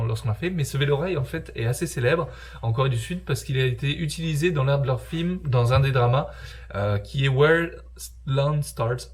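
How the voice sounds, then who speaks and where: A young man narrating his own travel vlog casually, joking around with slang and poking fun at himself. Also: mid-range voice. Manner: joking, slangy, self-mocking. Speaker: travel vlogger.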